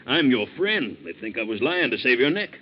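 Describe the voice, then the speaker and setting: A man playing a steady, fatherly boat captain with an American accent and folksy, hesitant speech in a 1940s radio drama. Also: medium pitch, brisk. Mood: cautioning. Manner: folksy, hesitant, soothing repetitions. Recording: muffled 1940s radio recording.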